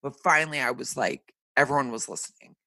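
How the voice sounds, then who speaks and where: Chatty guy in his 30s, podcast host, kinda raspy today, bright edgy tone, dry close sound. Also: quick pace, dry recording. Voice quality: deeper and raspier than usual today. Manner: chatty, lots of "like" and "um". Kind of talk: casual chat.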